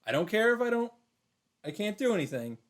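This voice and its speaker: A man reading a visual novel aloud as a streamer, in an emphatic, dramatic storytelling style with drawn-out pauses. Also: high voice, big pitch swings. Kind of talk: dramatic narrated read-aloud.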